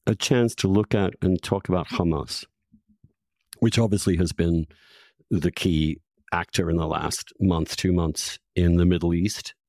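A man's voice: deep voice, a little mellow, dry recording, studio quality. A man hosting a conversational interview, discursive and often breaking off to rephrase himself. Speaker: podcast host, interviewer.

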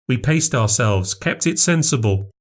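A man's voice: mid-range voice, animated, a little slow. A man voicing a genteel, self-righteous grandmother in an audiobook, prim and insistent. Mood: prim, wistful. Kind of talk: audiobook character voice.

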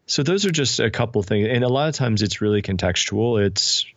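A man speaking as an interview guest in a thoughtful, measured, explanatory way. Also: fairly low pitch, lively intonation, fairly bright tone, no room sound, dull audio. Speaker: podcast guest, spiritual director and author. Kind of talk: reflective interview conversation.

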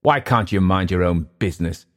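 A man voicing a bitter, dissolute Englishman with an English accent, sneering and halting by turns. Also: low voice, lightly acted. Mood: surly.

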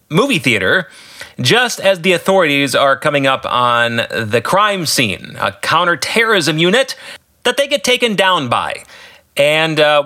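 An American man with a bright, fairly high voice talks as a podcast host. He walks through a story with colloquial asides and emphatic phrasing, his pitch swinging in a lively way. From United States. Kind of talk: podcast commentary recapping his own story.

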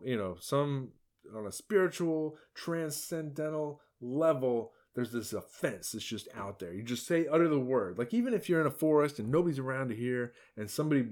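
Sarcastic, rambling male podcaster. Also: medium pitch. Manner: rambling and sarcastic, many fillers. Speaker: podcast host. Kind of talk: opinion podcast commentary.

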